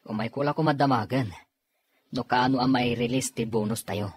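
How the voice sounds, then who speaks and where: An actor playing a mild, apologetic working husband in an Ilocano radio drama, with a husky voice and a Filipino accent. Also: male voice, medium pitch, unhurried, no room sound. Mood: casual, hopeful.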